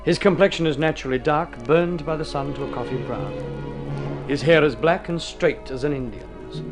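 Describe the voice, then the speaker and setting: Old radio narrator guy, highish voice, formal n dramatic storytelling, music playing under him. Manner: measured, literary, dramatic delivery.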